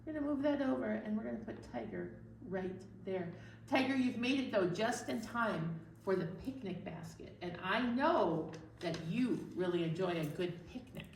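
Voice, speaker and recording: A woman hosting a storytime for young children, talking in an exaggerated, animated way as if to little listeners. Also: mid-range voice, normal speed. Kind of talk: read-aloud storytime presentation with songs. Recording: roomy echo, distant mic, faint noise.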